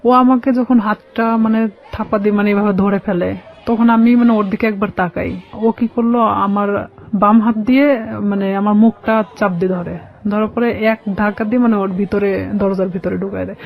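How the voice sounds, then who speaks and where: A Bangladeshi woman tells a personal story in a radio interview. The sound is slightly dull and lo-fi. She explains haltingly, circling back over her phrases. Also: medium pitch, steady tone. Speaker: radio show guest.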